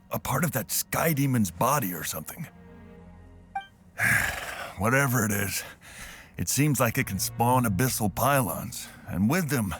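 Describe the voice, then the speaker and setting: A man playing a steady, practical, considerate fighter in a video game. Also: medium pitch.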